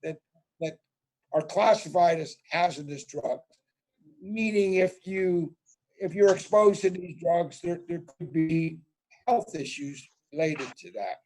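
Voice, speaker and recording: A man with a fairly high voice that still sounds mellow and soft-edged. He explains things step by step to an online audience, with plenty of "uh" and "you know" as he goes. It sounds like he is speaking over a video call. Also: slow pace, rough recording. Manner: explanatory, many fillers and restarts. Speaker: presenter. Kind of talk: webinar presentation.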